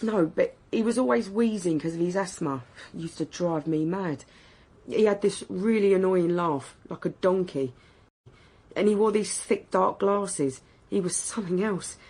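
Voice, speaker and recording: An actress playing a blunt, defensive young working-class wife in a naturalistic radio drama, colloquial and outspoken. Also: female voice, fairly low pitch, normal speed, a little mellow. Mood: disbelieving.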